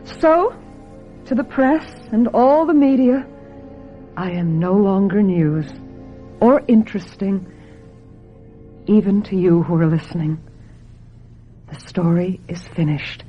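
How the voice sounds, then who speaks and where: A woman acting a wistful, needy young woman in a radio drama, with a dreamy, yearning, measured delivery. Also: mid-range voice, lively intonation, faint music behind. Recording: muffled old radio drama recording.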